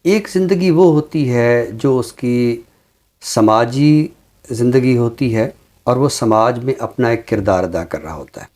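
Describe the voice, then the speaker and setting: A man with a Pakistani accent giving reflective commentary like a teacher, measured and explanatory, with deliberate pauses. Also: medium pitch, lively intonation, darkish tone. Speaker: teacher and programme guest. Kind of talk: reflective social commentary in an interview.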